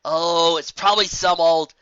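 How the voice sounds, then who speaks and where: A man's voice: fairly high pitch, fairly even intonation, a little slow, pushed and bright, clear, resonant tone, no echo, lo-fi sound, pitched above the performer's own voice. A man voicing a grumbly young male baby dragon assistant in a storybook reading. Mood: pleading, dismissive.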